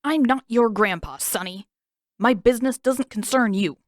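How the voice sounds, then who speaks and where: A woman voicing a boy who puts on the voice of a cranky old man, gruff and scolding. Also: a little high, normal speed, no room sound, clean studio sound, pitched above the performer's own voice, lightly acted.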